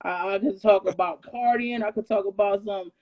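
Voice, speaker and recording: A woman's voice: mid-range voice, moderate pace. Woman on a lo-fi live stream. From Detroit, USA. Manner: animated, laughs often, emphatic repetition. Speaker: rapper guest. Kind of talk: casual live-stream chat. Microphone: phone on a live video call.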